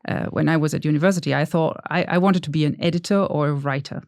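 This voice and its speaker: A woman's voice: low-pitched, fast, darkish tone, no room sound. Woman on a dry close mic. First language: German. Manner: thoughtful, hesitant, self-deprecating. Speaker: podcast guest. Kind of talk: casual interview chat.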